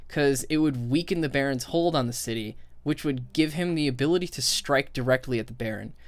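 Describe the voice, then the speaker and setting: A man's voice: medium pitch, a bit bright, no room sound. A man giving a casual, opinionated game critique, thinking aloud in a sweary, exasperated way. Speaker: video commentator.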